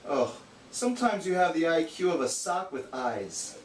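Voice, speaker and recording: A man's voice: fairly high pitch, sing-song, normal speed. A man voicing a bookish, exasperated know-it-all puppet, explaining in a didactic, slightly condescending way in an amateur educational puppet show. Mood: exasperated, sarcastic. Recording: echoey room, distant mic, hissy noise.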